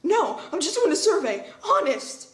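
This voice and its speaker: Male actor as nervous young canvasser woman, high, animated voice, roomy sound, comic dub. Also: normal speed.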